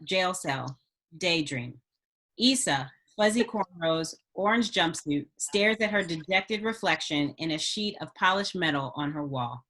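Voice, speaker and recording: Woman, stage-direction narrator, lower pitch, scripted screenplay narration. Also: animated, a little slow, a bit bright.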